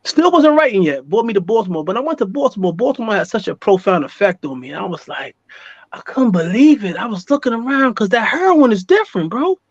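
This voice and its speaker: A high-pitched American man tells his own story as an interview guest. He talks casually in animated street slang and circles back on phrases to drive them home. The sound is close and dry, with a slightly dull, lo-fi top end. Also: fairly quick, no echo.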